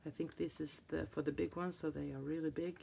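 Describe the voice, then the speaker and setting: Swedish lady in her 50s, low gravelly voice, slow and unsure, lots of restarts while she flips her planner. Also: steady tone, warm tone, slight background noise. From Sweden. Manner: hesitant, searching for words, many restarts. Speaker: planner vlogger. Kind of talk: casual flip-through chat. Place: indoors at a table, hands on a planner.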